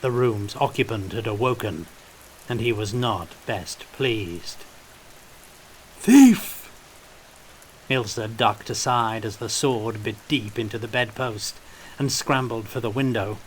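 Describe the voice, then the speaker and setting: A man's voice: fairly low pitch, unhurried, fairly bright tone, a little hiss or hum, rough recording. A man reading scripted fiction as an audiobook narrator, in a storytelling style.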